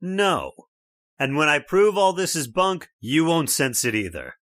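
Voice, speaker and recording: A man's voice: fairly high pitch, big pitch swings, measured pace, no echo, studio quality. An actor playing a stubborn, scoffing middle-aged father with a brash manner, in old-time radio drama style. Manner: brash, scoffing, loud laughs.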